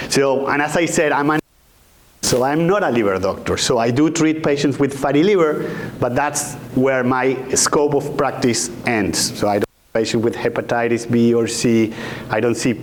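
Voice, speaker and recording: A man's voice: mid-range voice, slight background noise. A man in his forties with an Argentinian accent, a doctor giving an informal patient education lecture into a handheld mic, conversational and often checking in with his audience. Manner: relaxed, conversational, checks in with "okay?" and "right?". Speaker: doctor giving a health talk. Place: conference room, indoors. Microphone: handheld microphone.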